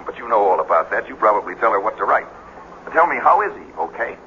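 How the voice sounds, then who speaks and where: A man's voice: lowish voice, big pitch swings, fast, pushed and bright. An American man playing a teasing, affectionate sweetheart in a vintage radio drama, bantering breezily. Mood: playful, affectionate. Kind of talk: 1940s-50s radio drama acting. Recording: muffled, noisy old radio broadcast.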